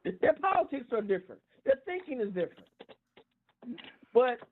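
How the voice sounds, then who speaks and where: A man's voice: extremely high pitch, very animated. A man on a tinny phone line in an impassioned group discussion, emphatic, repeating and restarting his words. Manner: repetitive, halting restarts, emphatic. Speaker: call-in talk show panelist.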